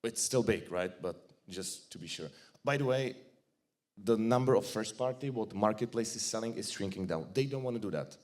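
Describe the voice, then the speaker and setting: A man with a Czech accent giving a joking, blunt conference talk, with frequent restarts and a fairly low voice. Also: animated, moderate pace. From Czech Republic. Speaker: conference speaker. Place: conference hall.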